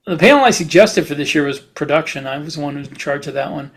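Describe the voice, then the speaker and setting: A middle-aged man co-hosting a podcast in casual chat, halting as he thinks aloud. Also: a little high, breathy edge. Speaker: podcast co-host, science fiction author.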